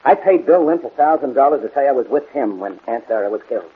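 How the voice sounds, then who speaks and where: A man's voice: medium pitch, lively intonation, fast talker, natural voice. An actor playing an anxious, defensive male murder suspect, pleading earnestly in a 1940s radio drama. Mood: pleading, insistent. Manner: earnest, insistent. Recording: muffled, noisy 1940s radio recording.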